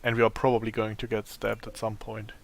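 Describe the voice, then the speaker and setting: A man with a raspy, tired voice giving casual gameplay commentary, thinking aloud as he plays. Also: lowish voice, monotone. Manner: slow, thinking aloud while playing. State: voice strained from a long day of talking.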